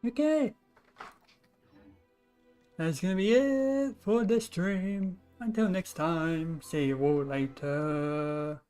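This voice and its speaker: A woman's voice: fairly low pitch, slow pace, mellow, clear, resonant tone, soft background music. A woman chatting casually as she commentates on her own video game livestream. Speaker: game streamer.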